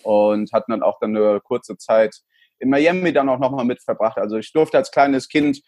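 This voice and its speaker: A man's voice: medium pitch, normal speed, a little mellow, no echo. A man in his thirties, raised in El Salvador, chatting as an interview guest and often repeating his words. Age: 30s. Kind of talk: casual interview talk.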